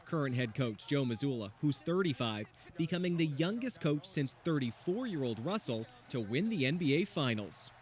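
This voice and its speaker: A man's voice: medium pitch, big pitch swings, moderate pace, few pauses, a crowd in the background. A man narrating a scripted TV news feature as a reporter.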